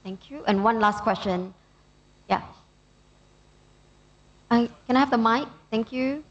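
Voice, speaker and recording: A woman's voice: on the high side, a little slow, dull audio. A Malaysian woman moderating a conference panel informally, in short organising remarks.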